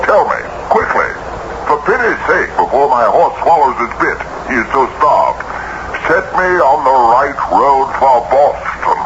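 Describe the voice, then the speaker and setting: An actor playing a weary, courteous, bewildered colonial gentleman ghost, with archaic courtly speech, in a radio drama. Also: medium pitch, animated, a little slow, close to an everyday voice. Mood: indignant, desperate. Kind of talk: 1970s radio drama acting. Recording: dull noisy old radio recording.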